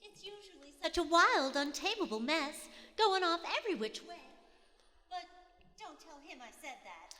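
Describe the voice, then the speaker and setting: Woman playing a high, girlish young sweetheart. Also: big pitch swings, moderate pace, sharp tone, exaggerated voice. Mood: bashful, gushing. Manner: girlish, coy. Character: sweet, bashful young small-town sweetheart in pomade ad. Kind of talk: old-time radio sponsor skit. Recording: live theater hall reverb, often distant.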